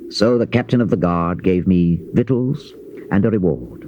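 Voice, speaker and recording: A man giving a solemn, measured, theatrical scripted narration of scripture in a declamatory manner. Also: low voice. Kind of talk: dramatized Bible reading, theatrical scripted narration. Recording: dull lo-fi cassette with noise.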